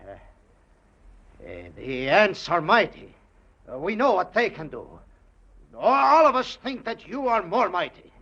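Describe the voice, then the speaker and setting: An actor playing a humble, loyal plantation foreman who speaks haltingly and earnestly in old radio-drama style. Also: high voice, big pitch swings, vocal fry, bright, forceful tone, full character voice. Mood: anxious, loyal. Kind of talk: 1940s-50s radio drama acting. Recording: muffled vintage radio recording.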